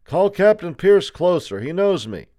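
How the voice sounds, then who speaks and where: A man voicing a desperate young patriot soldier held prisoner in an audiobook story, shouting and pleading urgently. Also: on the low side, lively intonation, brisk. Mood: frantic insistence.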